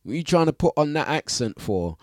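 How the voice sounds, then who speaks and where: A man with an East London accent hosting a radio breakfast show, giving casual shout-outs and jokey, self-mocking banter, laughing often. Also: on the high side, big pitch swings, fairly quick, dry recording, studio quality. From England. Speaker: radio DJ host. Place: radio studio. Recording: music playing under the voice. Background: music bed.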